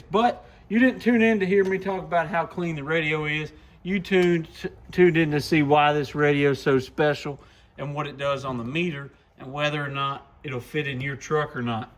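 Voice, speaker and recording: A man with a slightly high voice presents gear in a casual, folksy demo. He sounds enthusiastic and speaks informally, as a shop presenter would to regular viewers. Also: fairly bright tone.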